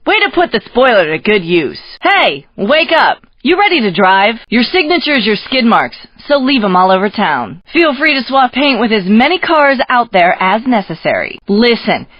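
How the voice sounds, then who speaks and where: A woman's voice: a little high, big pitch swings, moderate pace, hardly any pauses, dry recording, muffled recording. A woman playing a sassy, cocky street racing coach in a video game, pushy and full of wisecracks. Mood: cocky, goading.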